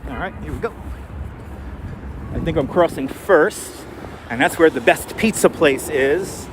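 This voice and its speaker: A man's voice: fairly high pitch, measured pace. Chatty man with a mild New York accent. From New York City. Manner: chatty, thinks aloud with fillers and restarts. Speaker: live stream host. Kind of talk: casual walking live stream, chatting with viewers. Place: outdoors on city streets. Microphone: wireless clip-on mic. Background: faint street traffic.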